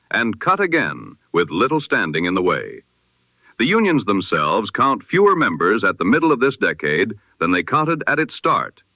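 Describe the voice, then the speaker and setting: A man presenting a scripted news bulletin in the style of 1920s radio, with a measured, formal, solemn delivery. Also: on the low side, no echo, muffled recording.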